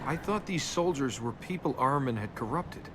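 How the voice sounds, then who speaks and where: An actor playing a cocky young adventurer in a video game, flippant and sarcastic. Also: male voice, mid-range voice, expressive, moderate pace, natural voice. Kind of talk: video game character acting. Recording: noisy game capture with ambience.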